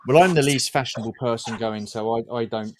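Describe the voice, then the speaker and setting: A middle-aged British man chatting as a guest, plain-spoken and halting, often repeating his words. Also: medium pitch. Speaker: guest toy collector. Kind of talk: casual chat. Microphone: remote live stream.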